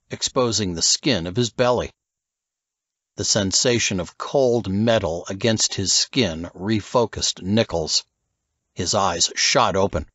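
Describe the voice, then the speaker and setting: A male audiobook narrator reading fiction from a script. His delivery is animated with a medium pitch, and the recording is very dry and a little dull. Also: no echo.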